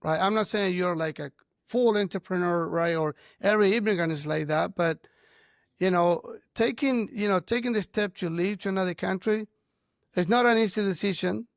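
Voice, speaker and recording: Man, native spanish speaker, high pitch, halting host, dry close recording. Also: gravelly, dry recording. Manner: halting, frequent "right?" and "you know". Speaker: podcast host. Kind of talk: interview conversation.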